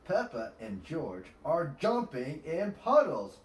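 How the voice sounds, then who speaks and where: A man narrates a children's picture book with very animated, swooping intonation. His delivery is very slow and deliberate, and the room has a noticeable echo. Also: fairly high pitch. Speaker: storybook reader. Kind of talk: expressive children's read-aloud narration. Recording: echoey, roomy sound.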